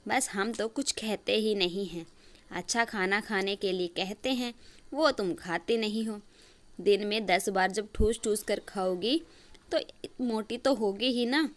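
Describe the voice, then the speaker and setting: A woman's voice: mid-range voice, measured pace, lightly acted. Woman acting a nagging middle-aged mother-in-law. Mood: scolding. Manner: nagging, commanding.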